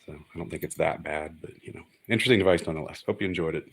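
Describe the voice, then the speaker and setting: A man's voice: deep voice, very fast. A man giving a casual, unscripted show-and-tell of electronics, explaining technical details in a matter-of-fact way. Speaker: hobbyist presenter of an electronics find.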